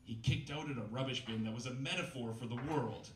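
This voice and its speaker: A man's voice: mid-range voice, brisk. A man in his thirties reading a novel aloud at a live reading night, in a mock-dramatic way with wry asides. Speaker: reader at a comedy reading night. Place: small bistro stage with live audience. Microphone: stand mic, filmed from far camera. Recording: roomy, distant camera audio, faint noise.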